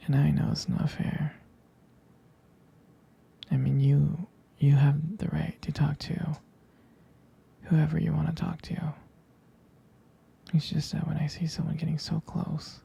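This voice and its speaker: A man playing a jealous, protective, devoted boyfriend in an intimate ASMR roleplay, speaking in breathy, gravelly, halting whispers. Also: a little high, warm tone, heavily acted. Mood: uneasy, jealous.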